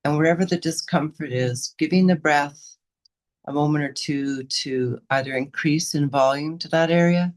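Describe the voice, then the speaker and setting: A woman guiding a meditation as a teacher, giving unscripted gentle instructions in short, unhurried phrases with pauses between them. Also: sits low. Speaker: meditation and yoga teacher leading a guided session.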